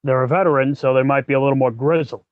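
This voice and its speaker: A man hosting a podcast in casual, explanatory talk, often halting as he thinks aloud. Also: mid-range voice, steady tone, no room sound, narrow, tinny audio. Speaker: podcast host; working actor.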